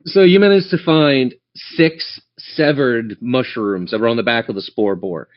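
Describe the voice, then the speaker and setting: A man's voice: mid-range voice, measured pace. A man narrating a tabletop game as game master in a casual, improvised way. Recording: dull lo-fi band-limited audio.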